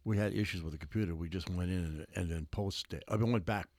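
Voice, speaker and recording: A man's voice: low voice, quick pace, darkish tone, no echo. A man hosting a casual radio show, joking, laughing easily and asking his guests questions. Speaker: radio host.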